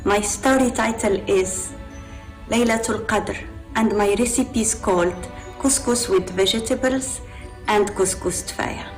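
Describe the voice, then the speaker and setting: A woman's voice: mid-range voice, unhurried, soft background music, low-quality recording. Moroccan woman introducing her story. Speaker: author.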